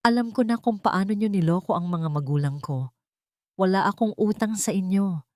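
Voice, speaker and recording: A woman's voice: mid-range voice, moderate pace, darkish tone, no room sound, studio quality, lightly acted. A woman voicing a wronged young wife in a story drama, bitter, defiant and intense. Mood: bitter resolve.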